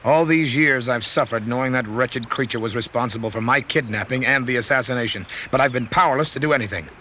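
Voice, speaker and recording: Man playing a bitter rebel king, sharp-voiced. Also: medium pitch, fast talker, slight background noise, lightly acted. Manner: solemn, declamatory. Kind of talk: 1970s space-opera audio drama acting.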